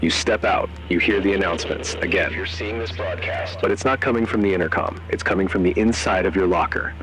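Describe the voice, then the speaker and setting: A man narrating a scripted horror story in the second person, in short, measured, suspenseful phrases. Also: sits low, low-quality recording. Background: music.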